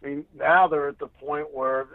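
A man's voice: on the high side, steady tone, normal speed, dry recording. A man, a radio sports announcer appearing as a guest, giving conversational analysis and repeating points for emphasis over a phone-like line. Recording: thin dry phone-like line.

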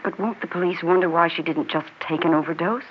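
An actress playing a murderer's anxious, practical mistress in a 1960s radio drama, questioning and worried. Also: female voice, lowish voice, fast talker, natural voice. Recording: muffled, hissy vintage radio recording.

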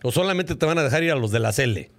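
A man's voice: a little high, expressive, rapid-fire, a bit bright. A Mexican man hosting a casual panel show, joking around in brash slang with plenty of swearing. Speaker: show host.

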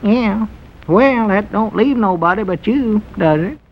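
An actor playing a dry, plain-spoken old storekeeper with a slow, teasing drawl, in a vintage radio comedy. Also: male voice, high-pitched, animated. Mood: needling. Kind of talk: 1930s-50s radio comedy acting. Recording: dull old radio recording with faint hiss.

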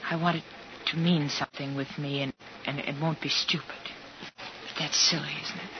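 An actress plays an earnest young woman in a fifties radio play. She speaks shyly and haltingly. The recording is dull, with clear noise around her voice. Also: deep voice, steady tone, natural voice. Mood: tender, hesitant. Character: earnest, shy young woman, tentative and tender. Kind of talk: 1950s radio drama acting. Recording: muffled noisy 1950s radio recording.

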